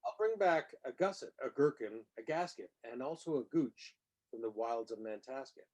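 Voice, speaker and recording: A man's voice: medium pitch, lively intonation, normal speed, a little mellow, poor audio. A man reads a rhyming children's picture book aloud with playful, theatrical emphasis on the nonsense words. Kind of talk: expressive read-aloud of rhyming storybook verse.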